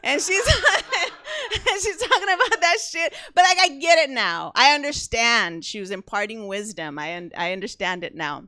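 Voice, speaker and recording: High, lively woman comic with a Mexican accent. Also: moderate pace, no room sound, clean studio sound. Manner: laughs often mid-sentence, chatty and self-mocking. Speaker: stand-up comedian. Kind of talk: stand-up comedy set.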